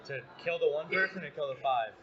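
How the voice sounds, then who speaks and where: A man's voice: fairly high pitch, animated, fast talker. A man hosting a podcast, chatting casually and emphatically at a busy campus bar, rambling with lots of fillers, recorded on a phone. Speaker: podcast host. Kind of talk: casual tipsy chat among friends. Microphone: phone on the table. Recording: lo-fi phone recording in noisy crowd. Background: crowd chatter.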